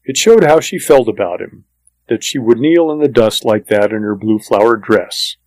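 A man's voice: fairly low pitch, normal speed, a little mellow, no room sound, poor audio. A man reading a first-person western story as scripted audiobook narration, in a plain, matter-of-fact manner.

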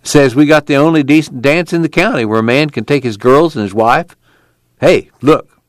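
A man's voice: medium pitch, moderate pace, no room sound, pitched above the performer's own voice, lightly acted. A man voicing a watchful, friendly young male camp guard with an easygoing, folksy rural drawl.

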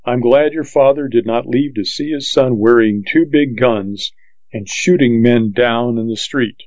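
A man voicing a stiff, pompous rich gentleman who speaks formally and lectures with scorn. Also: medium pitch, unhurried, no echo, close to an everyday voice. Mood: disapproving. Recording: dull, lo-fi dry audiobook recording.

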